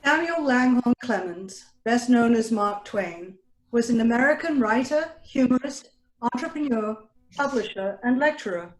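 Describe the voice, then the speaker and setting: A woman with a fairly high voice reads a scripted introduction as a presenter. The sound is roomy and echoey, like a video call from indoors. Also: expressive, a little slow. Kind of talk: scripted introduction read aloud.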